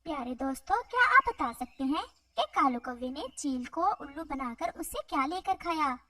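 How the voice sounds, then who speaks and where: A woman's voice: high voice, animated, moderate pace, pushed and bright, far from the mic. A woman narrating a scripted moral story for children.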